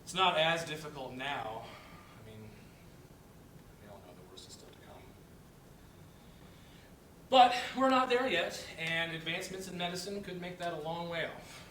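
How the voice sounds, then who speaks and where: A man's voice: sing-song, measured pace, pauses often, a bit bright. A man playing a wry, outspoken office worker in a dramatic reading of a play, speaking in a conversational, emphatic way. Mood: resigned. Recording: echoey room, distant mic, rumbling noise.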